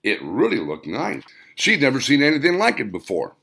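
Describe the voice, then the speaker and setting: A man's voice: mid-range voice, huge pitch swings, normal speed, vocal fry, pushed and bright. A man reading his own novel aloud as scripted audiobook narration, with dramatic, emphatic phrasing.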